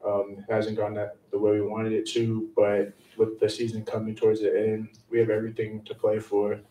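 A young college player answers calmly in a dark, flat monotone. His voice comes from a table mic some distance away in an echoey room with a faint hum. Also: fairly low pitch, moderate pace. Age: young adult. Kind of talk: press conference answers. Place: press conference room.

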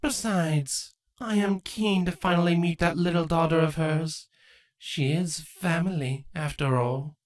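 A man's voice: fairly high pitch, measured pace, pitched above the performer's own voice, close to an everyday voice. A man voicing an ancient, scheming changeling queen, smug and taunting with false sweetness, in a dramatic fan fiction reading. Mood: smug, probing.

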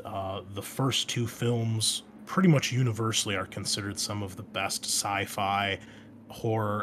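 A man's voice: fairly low pitch, fairly bright tone. A man hosting an informal introductory monologue, talking in an enthusiastic, conversational way as he explains things. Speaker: host and game master. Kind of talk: informal introductory monologue about a sci-fi franchise and tabletop game. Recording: clear background noise.

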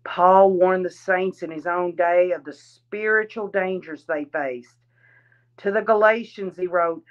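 A woman reading a religious address aloud in a deliberate, solemn manner. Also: on the low side, highs cut off. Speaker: reader.

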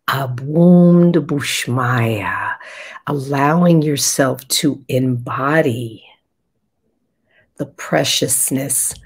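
An older woman with a raspy voice, a spiritual guide leading a soft, meditative session with chanting, heard over a video call from a room at home. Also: very deep voice. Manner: slow, soft and meditative, with pauses.

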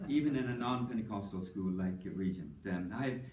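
An older man speaks at an academic tribute, reading prepared remarks in a measured way, sprinkled with ums and dry humor. He is heard from a distance in an echoey conference hall with a faint hum of ambience. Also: on the low side. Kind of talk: prepared tribute speech with anecdotes.

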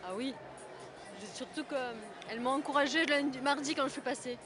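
A woman's voice: high voice, fairly even intonation, a little fast, music in the background, crowd noise. Amused woman, former contestant. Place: indoor shopping mall. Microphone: reporter's handheld mic.

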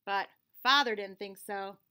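A woman in her forties giving an expressive, dramatized storybook read-aloud for children in a small room. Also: mid-range voice, unhurried. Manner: animated, clearly dramatized for children.

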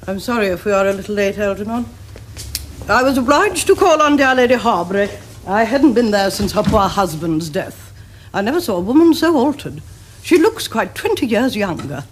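An actress playing a formidable, haughty older Victorian aunt in a period comedy, with an upper-class English accent and precise, pompous diction. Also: female voice, mid-range voice, big pitch swings, moderate pace, a little hiss or hum, lightly acted. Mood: grandly sociable. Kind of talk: stage-like period comedy acting.

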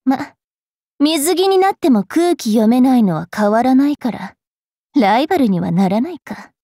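A woman playing a haughty, mischievous young vampire fairy priestess in an anime game, brash and teasing in a rough-spoken way. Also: high-pitched, expressive, moderate pace, dark tone, dry recording, studio quality. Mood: mocking, teasing. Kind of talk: anime game character voice lines.

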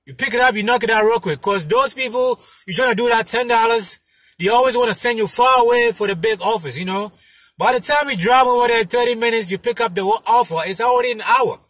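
A man in his thirties talking casually and emphatically to camera as a delivery driver giving tips, repeating his points, with a husky voice. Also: very high-pitched, fast talker, fairly bright tone, no room sound. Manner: emphatic, repetitive, punctuated with "you know". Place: inside a parked car. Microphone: phone mounted in front of him.

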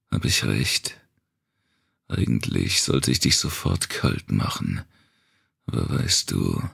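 A man voicing a menacing male captor in an audiobook, taunting in a rough whisper. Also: a bass voice, voice pushed down, heavily acted. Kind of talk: audiobook character dialogue.

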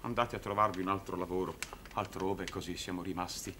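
An actor playing a proud, downtrodden middle-aged circus clown who stammers and repeats himself theatrically. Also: male voice, sits low, fairly even intonation, a little fast, lightly acted. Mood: dejected. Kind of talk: 1960s Italian television drama acting. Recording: noisy old television soundtrack.

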